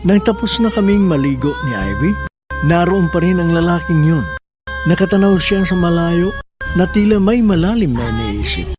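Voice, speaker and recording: A man's voice: sits high, expressive, moderate pace. A man with a Filipino accent hosting a radio drama and reading a letter as scripted dramatic narration. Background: music.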